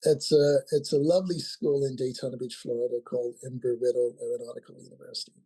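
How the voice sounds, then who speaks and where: A man of about sixty, from Nigeria, answering as a guest in a relaxed interview. His voice is husky and mellow. He hesitates a lot, stopping and restarting his phrases. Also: mid-range voice, fast, low-quality recording. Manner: hesitant, many ums and restarts. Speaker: guest, company founder. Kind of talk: casual interview talk.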